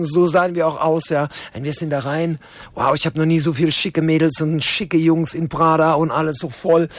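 A man's voice: high voice, no room sound. A man telling anecdotes in a casual interview, often repeating and restarting his words as he goes. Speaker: interview guest, drum and bass musician and event participant.

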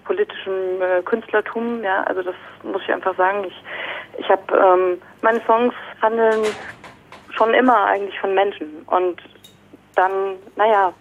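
A woman's voice: medium pitch, steady tone, normal speed, slight background noise. A woman singer-songwriter talking as an interview guest, hesitant with many fillers and restarts, heard over a phone line. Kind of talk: casual radio interview by phone.

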